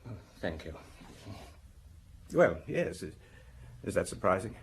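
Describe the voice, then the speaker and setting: Defensive, tired murder suspect for radio drama; hesitant, widely varying intonation, slightly noisy recording. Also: male voice, low voice, very animated, slow, creaky, full character voice. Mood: guarded. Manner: hesitant, explanatory, breaking off mid-sentence. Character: weary, defensive husband under police questioning over his wife's murder.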